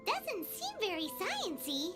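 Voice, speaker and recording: A woman's voice: extremely high pitch, wildly swooping pitch, takes it slowly, music in the background, full character voice. A woman voicing a tiny floating fairy girl in a video game, childlike, exclamatory and easily indignant. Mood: excitable. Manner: childlike, exclamatory, refers to self by name. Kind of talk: scripted video game dialogue.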